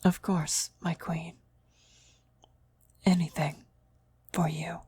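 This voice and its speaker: A woman's voice: on the low side, level tone, slow, darkish tone, slightly rough, no room sound, exaggerated voice. A woman playing a fawning female cultist devoted to her demon queen, deferential and half-whispering, in an ASMR roleplay. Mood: submissive.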